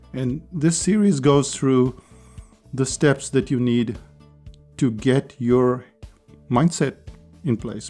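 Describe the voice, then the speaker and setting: A man hosting a casual solo podcast, chatty and persuasive, with his voice hoarse and going. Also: mid-range voice, mellow. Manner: chatty, persuasive, with small laughs. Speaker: podcast host.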